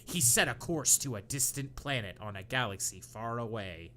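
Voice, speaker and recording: Lively, bright-voiced young man reading aloud. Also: mid-range voice, moderate pace, a little hiss or hum. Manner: animated, mock-dramatic reading. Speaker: podcast co-host reading fan fiction. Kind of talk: comedic podcast reading.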